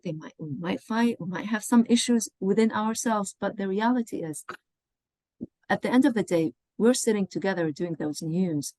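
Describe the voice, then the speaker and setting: Low-pitched woman teaching, dry close sound. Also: darkish tone, dry recording, poor audio. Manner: conversational, often checks in with "okay" and "you know". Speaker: Arabic language professor. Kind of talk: informal online teacher-training lecture. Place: indoors, online workshop over video call. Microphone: video call microphone.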